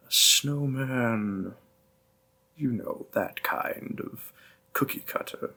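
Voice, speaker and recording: Demon boyfriend for intimate audio roleplay, played by a man: tender, courtly and teasing, very unhurried, with a husky breathy edge. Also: lowish voice, full character voice. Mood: playful instructing. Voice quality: breathy, gravelly, half-whispered. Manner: formal courtly phrasing, softly coaxing. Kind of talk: roleplay audio, intimate second-person acting.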